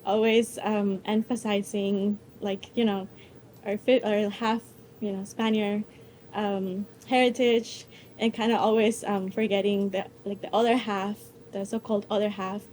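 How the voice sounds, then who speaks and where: A woman's voice: medium pitch, flat delivery, unhurried, darkish tone, a little hiss or hum, low-quality recording. A young woman from El Salvador, a student presenter answering audience questions at a conference, hesitant with many fillers and restarts.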